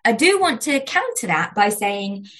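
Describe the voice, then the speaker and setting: A woman guest in an interview who talks in a thoughtful, searching way. She describes her own voice as squeaky and little, fills her speech with fillers and restarts, and often checks in with "right?". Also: very animated, unhurried, a bit bright. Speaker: guest, negotiation researcher. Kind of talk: conversational interview.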